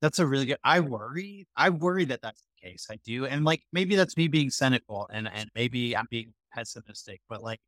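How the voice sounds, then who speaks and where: A man's voice: mid-range voice, animated, fairly bright tone, no echo. Male podcast guest, conversational, hesitant and hedging, very little room sound. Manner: halting, hedging, searching for words. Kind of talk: casual opinionated discussion.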